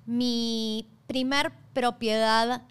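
A woman's voice: fairly high pitch, level tone, very slow, smooth. A woman with an Argentine accent hosting a podcast, talking conversationally in an explanatory way and checking in with listeners. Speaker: podcast host.

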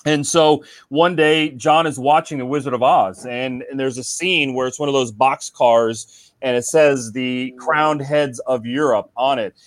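A man from Cincinnati, Ohio, a cigar company guest in casual podcast chat, telling stories in a relaxed way and often saying "man". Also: mid-range voice, a little slow, no echo.

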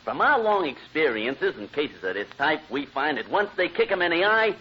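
A man's voice: a little high, big pitch swings, normal speed. Swooping, pushy lawyer man. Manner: blustering, theatrical. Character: pushy, smug, meddling divorce lawyer. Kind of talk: old-time radio comedy acting. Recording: dull, noisy old radio recording.

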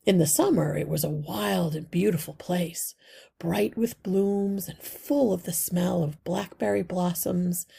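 Expressive woman storyteller with a bright voice. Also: on the low side, vocal fry, slightly rough. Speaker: teacher reading a children's novel aloud. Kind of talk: scripted narration, storybook read-aloud.

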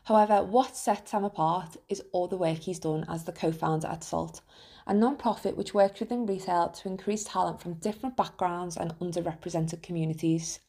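A woman reading a scripted podcast introduction in a smooth, read-aloud delivery. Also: lowish voice, fairly even intonation, brisk, dark tone. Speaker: podcast announcer.

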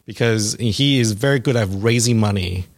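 A man in his forties, talking through his career in a casual interview, halting with fillers and restarts. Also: fairly low pitch. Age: 40s.

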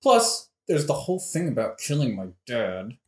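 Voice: mid-range voice, big pitch swings, normal speed, echoey room, lightly acted. A performer playing an aggrieved, macho young duelist in a modern comic audioplay, slangy and blustering.

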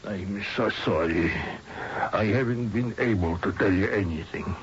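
An actor in an old radio drama playing an earnest, meek fiancé who is anxious, halting and apologetic. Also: male voice, lowish voice, soft background music, close to an everyday voice. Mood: awkward, apologetic. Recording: dull, hissy vintage radio recording.

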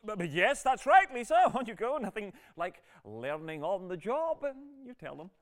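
Flustered, stammering, high-pitched TV executive. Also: male voice, sing-song, normal speed. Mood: nervous prompting. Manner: stammering, repeating words, falsely reassuring. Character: flustered, nervous TV executive placating his stars. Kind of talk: comedy drama acting.

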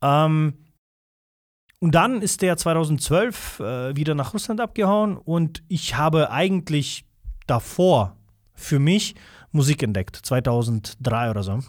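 A young man whose first language is Russian, chatting as an interview guest over a video call. His voice sits a little high and sounds dry and close, with no room echo. He rambles with plenty of fillers and pokes fun at himself. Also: expressive, a little slow. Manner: rambling, self-deprecating, many fillers. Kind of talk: casual interview chat.

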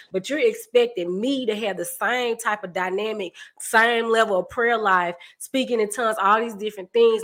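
A woman in her thirties hosting a casual discussion, chatty and animated. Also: mid-range voice. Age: 30s. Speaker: podcast host.

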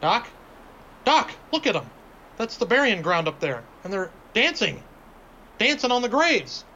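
A man's voice: high-pitched, big pitch swings, a little slow, pushed and bright, full character voice. A man playing a nervous, superstitious small-town sidekick in old-time radio horror style, folksy, stammering and pleading. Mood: panicked. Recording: noisy, dull homemade radio drama recording.

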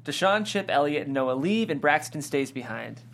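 A woman's voice: low voice, animated, moderate pace. A woman host reading out stage directions and chatting about a script.

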